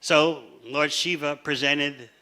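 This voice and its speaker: A man teaching a scripture class, telling the story in a measured way and laying out his points one by one. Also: fairly high pitch, fairly even intonation, sharp tone. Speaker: spiritual teacher.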